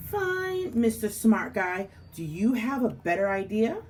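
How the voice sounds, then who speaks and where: A woman's voice: mid-range voice, big pitch swings, a little slow, a little mellow, pitched below the performer's own voice. A woman voicing an impatient, demanding, touchy monster in a picture book read-aloud. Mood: touchy, sarcastic.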